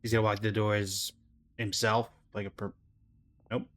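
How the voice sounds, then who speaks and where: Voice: medium pitch, level tone, normal speed. A streamer giving casual live commentary while reacting to a game.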